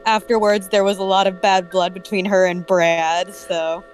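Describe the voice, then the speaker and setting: A woman's voice: medium pitch, little pitch movement, normal speed, soft background music, poor audio. A woman chatting casually and informally, swearing now and then. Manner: profane, informal. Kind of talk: casual chat.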